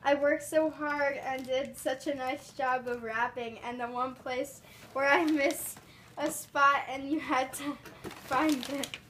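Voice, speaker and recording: Bright, playful young woman in her twenties. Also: high voice, steady tone, takes it slowly, distant mic. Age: 20s. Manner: playful, laughing banter. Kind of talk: casual family chat. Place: living room at home. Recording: off-mic home video with faint noise.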